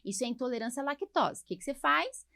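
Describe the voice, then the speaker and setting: A woman's voice: high-pitched, expressive, quick pace, vocal fry, sharp tone, no echo, clean studio sound. A Brazilian woman chatting as a podcast host and doctor, explaining things emphatically with frequent rhetorical questions. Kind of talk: casual podcast conversation, explaining to listeners.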